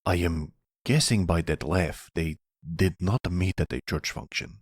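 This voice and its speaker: A man playing a charming, self-assured mafia boss boyfriend in an ASMR roleplay, speaking in a suave, measured, formal way. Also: low-pitched, dry recording, studio quality. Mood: wary, dry. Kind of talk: ASMR roleplay audio drama.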